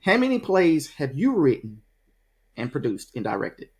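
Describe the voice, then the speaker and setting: Interview host, chatty, rambling, mid-pitched voice. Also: very animated, moderate pace. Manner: chatty, rambling, loosely worded questions. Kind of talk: casual interview questions.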